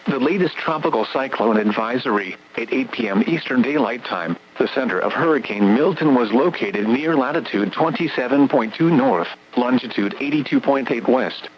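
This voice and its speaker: A man's voice: medium pitch, expressive, normal speed, few pauses. A synthetic American male voice formally reading a scripted emergency weather bulletin over weather radio, impersonal like an automated announcer. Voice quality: synthetic text-to-speech voice. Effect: weather radio broadcast. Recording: muffled, noisy weather radio reception.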